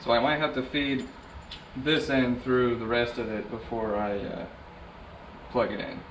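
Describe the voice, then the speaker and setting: A man narrating a DIY vehicle install in a relaxed, casual way, talking to himself as he works. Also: medium pitch, measured pace, a little hiss or hum. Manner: laid-back, talks to himself while working. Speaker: DIY vlogger. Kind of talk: casual how-to narration while working. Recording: roomy, distant, dull camera audio.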